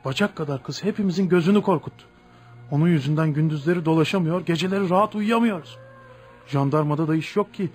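Casting: nervy, grumbling henchman steward for radio play, high raspy voice. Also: fast talker, darkish tone. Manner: theatrical, agitated, pleading when threatened. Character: frightened, grumbling farm steward, henchman of a landlord. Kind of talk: Turkish radio play acting. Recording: music behind a radio drama.

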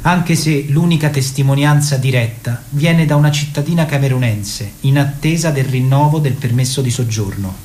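A man's voice: mid-range voice, moderate pace, hardly any pauses, a little mellow. Man narrating documentary theatre, roomy sound. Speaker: actor-narrator.

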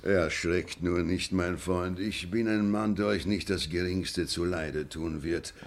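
An actor in a classic German radio play playing a self-assured police commissioner, pompous, deliberate and placating. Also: male voice, low-pitched. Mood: placating, sly.

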